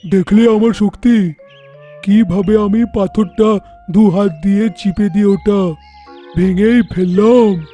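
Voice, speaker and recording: A bold, crafty cartoon elephant, played by a man, with a very dark, muffled tone. He speaks slowly and boastfully, high in the male range. Soft music plays behind him. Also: fairly even intonation. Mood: boastful, taunting. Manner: taunting, swaggering, deliberate. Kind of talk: animated cartoon voice acting.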